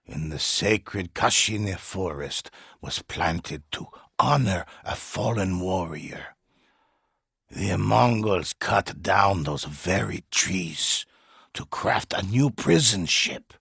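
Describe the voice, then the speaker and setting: An actor playing a grave old male storyteller in a video game, narrating warrior legends in a solemn, measured, husky voice. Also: a bass voice, a bit bright, no room sound, studio quality, full character voice. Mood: grave, resolute. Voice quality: husky, raspy. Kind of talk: dramatic scripted storytelling narration.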